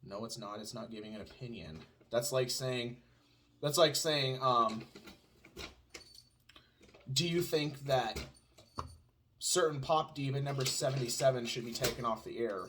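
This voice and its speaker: A man's voice: mid-range voice, unhurried, long pauses, a bit bright, a little hiss or hum. Southern twang guy ranting sarcastic at home, asks himself fake questions and answers them. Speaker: podcast co-host. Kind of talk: casual opinionated chat.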